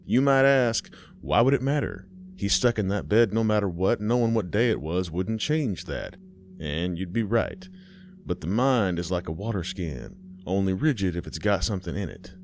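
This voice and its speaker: A man with a low, deep voice tells a scary story in a folksy, unhurried way. He talks right to the listener like a host by the fire. There is a faint hiss behind him. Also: animated. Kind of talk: scripted horror story narration.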